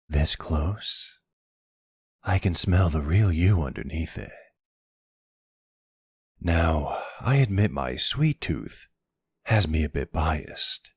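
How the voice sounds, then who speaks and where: A man's voice: no room sound, full character voice. A man playing a smug, flirty, teasing vampire in an intimate ASMR roleplay, with a playful, taunting drawl close to the microphone. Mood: seductive menace. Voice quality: deep, gravelly, husky. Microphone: close studio-style microphone, dry.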